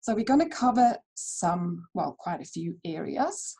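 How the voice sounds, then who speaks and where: A woman's voice: on the low side, very animated, a little slow, warm tone, slightly rough. A woman from Switzerland presenting a webinar over a video call, in a friendly, chatty way with fillers and restarts. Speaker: herbal dispensary founder presenting a webinar.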